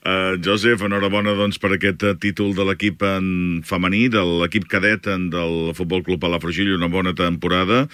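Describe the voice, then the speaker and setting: A man's voice: deep voice, flat delivery, normal speed, few pauses, fairly bright tone, clean tone, no room sound, clean studio sound. A male radio host asking friendly, conversational follow-up questions in a casual interview.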